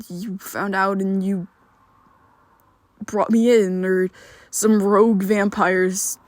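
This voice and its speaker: A woman voicing a secretive, protective young male vampire best friend in an ASMR roleplay, talking haltingly and often dropping to a whisper. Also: medium pitch, dry recording, close to an everyday voice.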